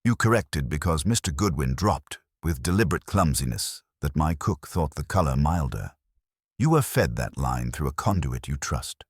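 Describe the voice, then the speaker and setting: A man's voice: extremely low pitch, expressive, normal speed, slightly rough, dry recording, clean studio sound, exaggerated voice. A man voicing a stern, erudite detective who speaks in formal, precise, cutting sentences, in a mystery story. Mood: accusing. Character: stern, erudite male detective, disdainful and exacting.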